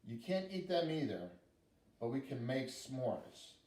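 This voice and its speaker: A man's voice: mid-range voice, big pitch swings, unhurried, darkish tone, distant mic, a little hiss or hum. A man playing a chirpy little girl scout. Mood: warm. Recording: echoey room, voice from afar.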